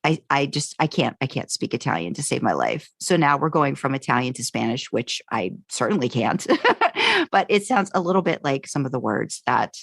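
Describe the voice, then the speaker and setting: A woman's voice: extremely low pitch, big pitch swings, normal speed, creaky, few pauses, a bit bright, slightly rough, no room sound, clean studio sound. A woman co-hosting a podcast, talking casually and informally in a self-deprecating way. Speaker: podcast co-host.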